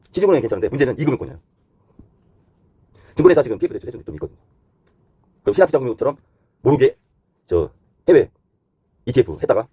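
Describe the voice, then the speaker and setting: A man delivers off-the-cuff commentary at a very rapid clip. His voice is rough and husky, and his pitch moves around in a lively way as he explains things point by point, switching between polite and blunt phrasing. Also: no echo. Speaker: host giving economic commentary. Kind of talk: unscripted explanatory commentary on economy and finance.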